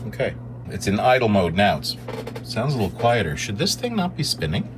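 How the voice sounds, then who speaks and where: Man muttering over clear machinery noise. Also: lowish voice, very animated. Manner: halting, muttering to himself, playful. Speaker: vlogger repairing a tape deck. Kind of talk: casual hands-on commentary, thinking aloud. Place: indoors at a repair bench. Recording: clear machine hum and whir.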